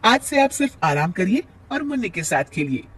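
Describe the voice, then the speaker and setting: Man voicing an exasperated young daughter-in-law, high-pitched. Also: big pitch swings, moderate pace, fairly bright tone, distant mic, a little hiss or hum. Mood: conciliatory. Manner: exasperated, complaining. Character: put-upon young working daughter-in-law, complaining, later softening.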